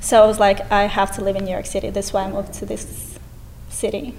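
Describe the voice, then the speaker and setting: A woman's voice: medium pitch, flat delivery, brisk, a little mellow, slight background noise, low-quality recording. A woman in her twenties with a Russian accent, a guest on a podcast, talking cheerfully and laughing often. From Eastern Europe. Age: 20s. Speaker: guest, real estate agent. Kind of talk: casual interview chat.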